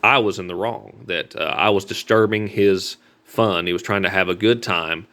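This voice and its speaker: A man with a Southern American accent talking informally about his own experience in a halting, repetitive, earnest way with folksy grammar. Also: sits low, fairly even intonation, sharp tone. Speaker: street preacher. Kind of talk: informal personal vlog talk.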